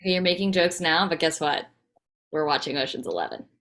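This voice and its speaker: A woman's voice: deep voice, very animated, brisk, fairly bright tone. A woman playing a wry, teasing wife and mother in a table read of a TV pilot script.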